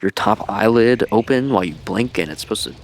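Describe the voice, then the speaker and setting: A man's voice: fairly low pitch, expressive, fairly quick, a little hiss or hum. A man giving casual, laid-back gaming vlog commentary in a rambling way, on an old-school headset-style mic. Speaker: video creator and streamer. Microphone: headset-style microphone, deliberately old-school sound.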